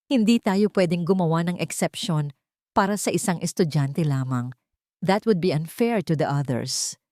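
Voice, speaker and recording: A woman's voice: lowish voice, normal speed, no room sound, clean studio sound, natural voice. A woman voicing a rigid, formal, emotionless school principal in an audio story. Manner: flat, formal.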